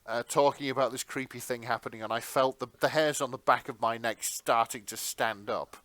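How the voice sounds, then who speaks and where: A man's voice: mid-range voice, fairly even intonation, brisk, fairly bright tone, no room sound. A man co-hosting a podcast in casual, jokey chat, with some casual swearing. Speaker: podcast co-host.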